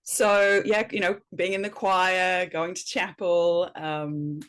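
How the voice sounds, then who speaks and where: Low-pitched middle-aged woman, light Welsh accent. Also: little pitch movement, slow, smooth. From small town in north Wales. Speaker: guest, educator and author. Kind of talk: relaxed interview conversation.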